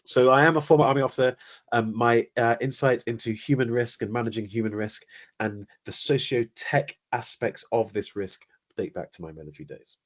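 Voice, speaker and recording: A middle-aged British man with a British accent, a company founder speaking as a webinar guest in an articulate, measured way with dry humour. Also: lowish voice, breathy edge. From United Kingdom. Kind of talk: webinar interview answers.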